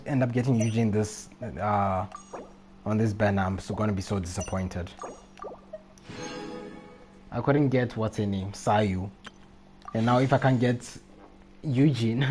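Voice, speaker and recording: A man's voice: lowish voice, darkish tone. A man chatting casually on a gaming livestream, rambling and repeating himself as he goes. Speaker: live streamer.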